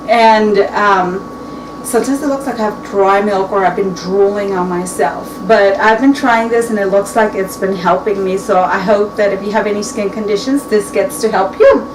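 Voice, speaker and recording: A woman in her early forties chatting casually to camera in her home kitchen, rambling and restarting her sentences as she talks. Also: medium pitch. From Mexican heritage. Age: 40s. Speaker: beauty vlogger. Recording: echoey room, distant mic, clear background noise.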